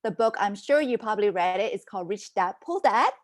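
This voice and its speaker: Animated woman telling her story. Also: mid-range voice, fairly quick. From Hong Kong and Canada. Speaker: podcast guest, business mentor. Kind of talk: casual interview storytelling.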